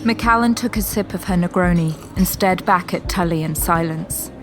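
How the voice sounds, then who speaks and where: A woman's voice: fairly low pitch, moderate pace, natural voice. A woman acting as the composed storyteller of an audio drama, reading scripted third-person narration. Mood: measured. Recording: loud music bed behind voice.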